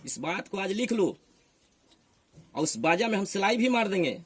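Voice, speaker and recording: A man's voice: high-pitched, big pitch swings, moderate pace. A man with a Bihari accent arguing like a preacher, assertive and admonishing, often putting rhetorical questions. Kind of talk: heated argument, preaching.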